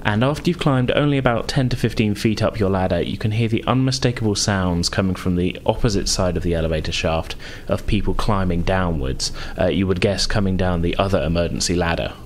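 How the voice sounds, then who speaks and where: A man narrating a tabletop roleplaying game as game master, in a measured, descriptive way. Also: low-pitched, slight background noise.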